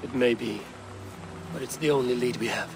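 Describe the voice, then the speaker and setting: A mid-pitched male voice , performed by an actor, as a brooding young Norse warrior in a video game. He is blunt, earnest and solemn, with clear outdoor noise around him. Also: moderate pace, lightly acted. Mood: grim, resolved. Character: brooding, loyal Norse raider, blunt and determined. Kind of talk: dramatic video game acting.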